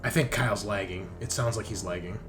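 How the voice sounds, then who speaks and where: A man's voice: on the low side, brisk, music in the background. A man working as game master in a tabletop roleplaying game, casually explaining rules and narrating the action step by step in an informal way.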